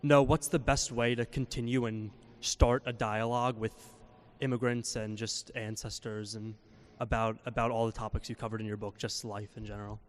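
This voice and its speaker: A young student asks his question with a flat, even pitch, hesitant and earnest. His voice trails off now and then, and long reverb hangs in the large hall around him. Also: normal speed.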